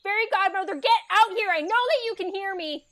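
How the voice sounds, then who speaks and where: A woman as a high-voiced sarcastic fairytale heroine. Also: expressive, a little slow. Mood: urgent, annoyed. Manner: sarcastic, modern casual speech. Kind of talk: audio drama acting.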